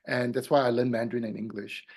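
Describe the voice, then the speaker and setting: A man in his fifties who grew up in Singapore, a guest in an interview, telling a long personal story. His voice often rises into louder bursts, then drops soft. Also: medium pitch, little pitch movement, quick pace, gravelly. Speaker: guest, feng shui consultant.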